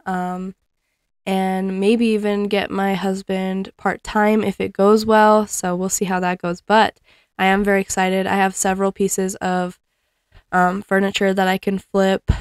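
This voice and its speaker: A woman's voice: mid-range voice, clear, resonant tone, no room sound, clean studio sound. A young woman vlogging casually from her living room while folding laundry, chatting with frequent ums and little laughs, picked up by a camera set up across the room. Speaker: cleaning vlogger. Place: living room at home, indoors. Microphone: fixed camera across the room.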